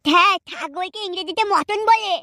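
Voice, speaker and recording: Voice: very high-pitched, moderate pace, gravelly, bright, forceful tone, dry recording, studio quality, exaggerated voice. A performer voicing a cheeky, boastful schoolboy in a cartoon, who whines when he is caught out. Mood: eager. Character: cheeky loud-mouthed schoolboy. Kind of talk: cartoon voice acting.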